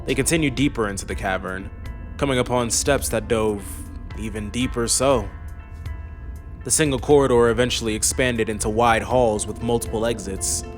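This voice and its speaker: A man's voice: mid-range voice, moderate pace. A man reading scripted fantasy narration as an audiobook narrator. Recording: faint music under the voice.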